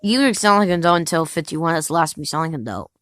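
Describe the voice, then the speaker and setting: A nineteen-year-old woman asking a steady run of quick, curious follow-up questions in a casual chat at home. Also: deep voice, no room sound, clean studio sound.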